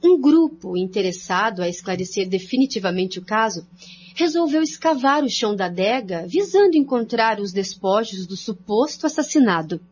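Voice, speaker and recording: A Brazilian woman reads scripted radio drama narration with animated, swinging intonation. The recording is somewhat dull, with a faint hum behind her. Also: mid-range voice, moderate pace.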